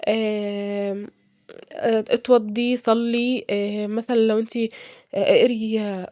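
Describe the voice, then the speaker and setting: A woman's voice: fairly high pitch, mellow, no room sound, studio quality. A young woman with an Egyptian accent, congested from a cold, chatting casually with many fillers as she cooks and gives advice. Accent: Egyptian Arabic. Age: young adult. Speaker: cooking vlogger. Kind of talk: casual advice while cooking.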